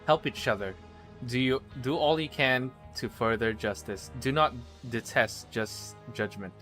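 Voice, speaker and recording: A man's voice: mid-range voice, a little slow, a bit bright, faint music behind. A man livestreaming a game, commenting casually and wryly and reading on-screen text aloud. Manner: casual, wry.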